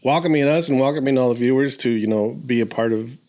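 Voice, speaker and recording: A man's voice: medium pitch, fast, darkish tone. A man, hosting a casual conversational interview in an informal way, swearing now and then. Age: 50s. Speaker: podcast host. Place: decorated home garage.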